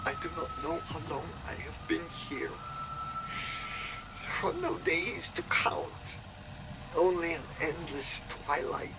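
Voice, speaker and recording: An actor playing a lost, hunted and despairing man stranded in an otherworld, speaking a halting, fearful monologue for a game audio log. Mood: desperate. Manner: halting, haunted, fearful delivery. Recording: noisy radio log with engine-like ambient rumble.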